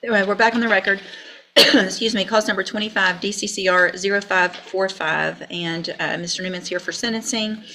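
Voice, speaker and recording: A woman's voice: medium pitch, fairly even intonation, a little fast, pushed and bright. A woman with an American accent speaking bluntly and sternly as a judge in formal courtroom speech, on a noisy courtroom recording. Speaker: judge presiding over a sentencing.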